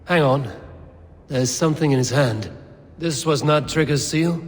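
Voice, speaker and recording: An actor playing a grim, shrewd male Viking raider in a video game, speaking in a measured, terse way. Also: a little high. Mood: grim, analytical.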